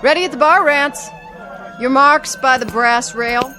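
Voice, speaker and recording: Set crew woman, radio drama, high brisk voice with music clearly behind.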